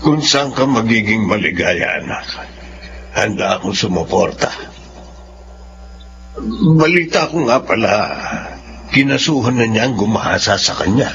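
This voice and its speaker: A man playing a calm, supportive middle-aged father in a Filipino radio drama. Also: lowish voice, unhurried, pauses often. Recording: muffled, noisy radio drama audio.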